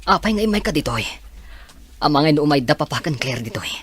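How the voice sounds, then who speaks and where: A man's voice: fairly high pitch, sing-song, quick pace, a bit bright, a little hiss or hum. An actor playing a troubled man in a hospital who speaks tensely and with exasperation in a radio drama.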